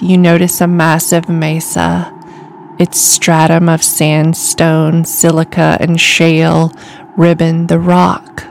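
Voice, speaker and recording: A woman calmly reading a scripted guided imagery meditation in a soothing, deliberate way. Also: fairly low pitch, creaky, a little hiss or hum. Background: music.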